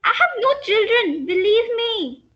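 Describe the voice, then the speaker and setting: A woman's voice: very high-pitched, animated, measured pace. A woman playing a prim, polite neighbour lady, reciting lines in an amateur reader's theater. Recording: dull, muffled sound.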